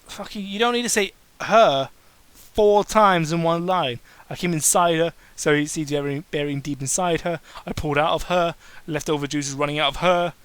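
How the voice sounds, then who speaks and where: Bright-voiced, exasperated man reading mockingly. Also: on the high side, moderate pace, smooth, no echo. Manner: exasperated, sarcastic, swears freely. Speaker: amateur reader and commentator.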